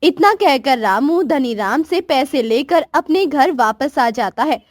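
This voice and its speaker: A woman's voice: high voice, expressive, unhurried, dry recording, clean studio sound. A woman reading scripted narration for a moral story.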